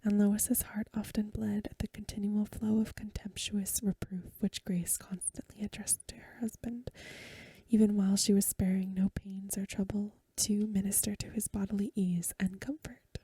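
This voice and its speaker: A woman reading a story aloud as soft-spoken ASMR, in a gentle, hushed, whispery voice close to the microphone. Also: medium pitch, level tone, moderate pace, breathy edge, no room sound. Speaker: ASMR host.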